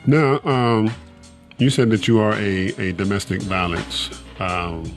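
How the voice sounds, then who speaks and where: A man hosting an interview show, speaking haltingly with many uhs and restarts. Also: on the low side, fairly even intonation, faint music behind. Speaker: podcast host.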